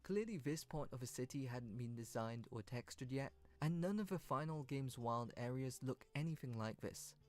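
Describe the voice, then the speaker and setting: A man's voice: mid-range voice, expressive, normal speed, few pauses, a little mellow. A man reading scripted, informative narration for an online video. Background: background music.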